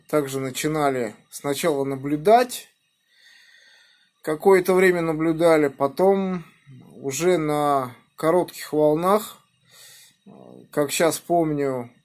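Slow-speaking man, fairly high voice. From Moscow region, Russia. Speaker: amateur radio operator. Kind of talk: ham radio contact, spelling out callsigns phonetically. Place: indoors at a home radio desk by a window. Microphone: phone filming the radio.

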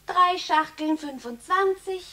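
A woman's voice: extremely high pitch, takes it slowly, bright, forceful tone, exaggerated voice. A woman playing a cheeky small girl who play-begs like a poor match seller, in a 1960s radio play. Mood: plaintive, theatrical.

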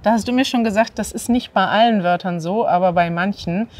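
A woman's voice: mid-range voice, brisk. Woman from northern Germany hosting a lesson. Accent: standard German. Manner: clear, animated, prompting and repeating words. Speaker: host. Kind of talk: language lesson interview. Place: outdoors in a courtyard by an ivy-covered wall.

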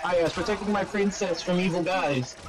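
A man doing a mocking dramatic reading of fan fiction, halting and stumbling over words, with casual sweary asides. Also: sits high, a crowd in the background.

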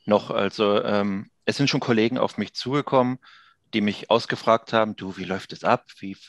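A man in his thirties with a low voice talks casually as an interview guest. The sound is close and dry, picked up by a headset during a video call. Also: normal speed, fairly bright tone, no room sound. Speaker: audio drama producer, interview guest. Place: indoor office room on a video call.

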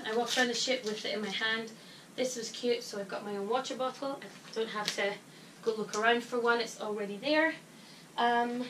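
A woman's voice: fairly high pitch, fairly bright tone, a little hiss or hum. Chatty woman packing in an echoey bedroom. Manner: chatty, frequent "um" fillers, explains while packing. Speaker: vlogger giving cruise packing tips. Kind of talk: casual advice vlog. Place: small bedroom, indoors. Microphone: fixed camera from above across the room. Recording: echoey room, voice from a distance.

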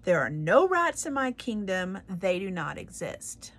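A woman's voice: medium pitch, sing-song, measured pace, fairly bright tone, full character voice. A woman voicing a grieving, blustering king who bellows indignantly. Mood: shouting.

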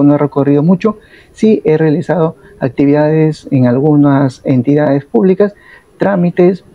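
A Peruvian man in his thirties telling a personal travel story straight to the camera, reflective and steady, often adding little tag questions. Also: a little high, no room sound, phone-quality sound. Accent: Peruvian Spanish. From Peru. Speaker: vlogger.

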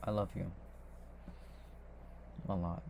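Casting: male performer as needy young boyfriend, soft and halting, very deep crackly voice, intimate ASMR audio drama. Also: flat delivery, vocal fry, exaggerated voice. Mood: sleepy, affectionate. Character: tender, needy, giggly young boyfriend seeking comfort.

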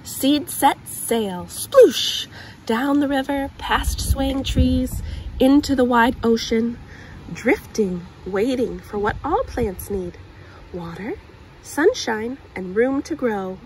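A woman in her thirties hosting a children's story time, reading a picture book aloud outdoors with expressive, deliberate emphasis on words. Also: on the high side, low-quality recording. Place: outdoors on grass. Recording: clear outdoor ambient noise.

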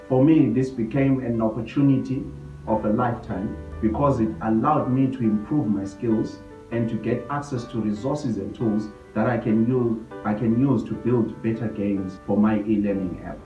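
A man from Zimbabwe, an edtech startup co-founder, giving a measured, formal testimonial to a camera indoors. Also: fairly low pitch, dark tone, faint music behind. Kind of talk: prepared testimonial. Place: indoor room. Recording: roomy, distant, dull sound.

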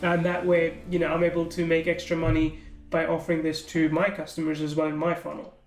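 A man with a high voice and fairly even intonation talks through product pages, casual and thinking aloud. His voice sounds roomy and echoey, with faint guitar music underneath. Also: brisk. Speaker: software reviewer. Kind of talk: screen-recorded product walkthrough.

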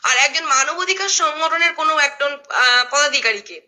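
A woman's voice: high-pitched, lively intonation, moderate pace, bright, forceful tone. A middle-aged woman explains at length on a phone call, emphatically checking that she is understood. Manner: emphatic, repeatedly seeking confirmation. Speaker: social media creator.

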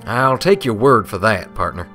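A man's voice: fairly low pitch, sing-song, moderate pace, sharp tone, faint music behind. Man doing a folksy cowboy stallion. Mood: curious. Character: friendly folksy cowboy stallion, curious and plain-spoken.

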